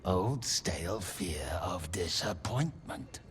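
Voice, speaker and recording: An actor playing a possessive, brooding demon spirit in a game, speaking in halting, cryptic, clipped phrases. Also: male voice, low voice, animated, fairly bright tone, a little hiss or hum, full character voice. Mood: intense, possessive.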